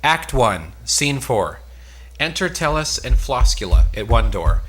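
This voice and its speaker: A man's voice: medium pitch, very animated, a little slow, a bit bright. A man reading out stage directions plainly in an amateur play reading.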